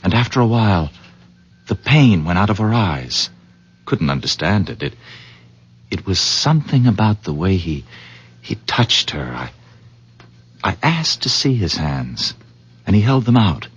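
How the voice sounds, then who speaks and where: An actor playing a polite, excitable village horse owner in a radio drama, husky and halting in his courteous, earnest talk. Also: deep voice, full character voice. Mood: awed, earnest. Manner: hesitant, halting, courteous, effusive. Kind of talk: 1970s radio drama acting. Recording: dull old radio recording.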